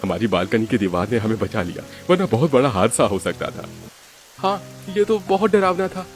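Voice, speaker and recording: A man's voice: medium pitch, sing-song, moderate pace, faint music behind, close to an everyday voice. Swooping, easygoing cartoon father-in-law, acted by a man. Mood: thankful. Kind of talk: Hindi cartoon story voice acting.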